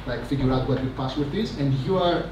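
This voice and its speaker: A man giving a rambling, energetic conference talk on stage, full of fillers and asides. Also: medium pitch, a little fast. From Greece. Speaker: conference speaker. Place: large conference hall. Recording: echoey hall, mic from a distance, noisy.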